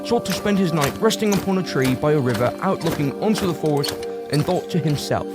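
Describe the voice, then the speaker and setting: A man's voice: on the high side, expressive, fairly quick. A man narrating a scripted fantasy audio drama as a storyteller. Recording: prominent music bed behind.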